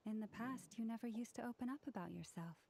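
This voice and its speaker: A woman voicing a composed, kindly adult woman in a video game, measured, polite and articulate. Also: a little high, vocal fry, a little mellow, slight background noise. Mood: relieved, warm. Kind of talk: video game character acting.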